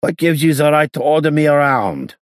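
An irritable, surly gangster from a crime audiobook, as a male narrator acts him out. His voice is pushed up high and swings around as he mutters and protests, with a rough husky edge. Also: big pitch swings, normal speed, no room sound, clean studio sound. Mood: loud, demanding. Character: Hincus, surly, agitated gangster just freed from ropes.

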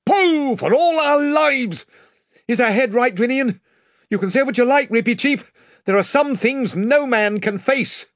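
A man's voice: very high voice, expressive, unhurried, dry recording, clean studio sound, pitched above the performer's own voice. A man voicing a young male king commanding his ship's crew. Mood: urgent. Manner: urgent commands, then hushed.

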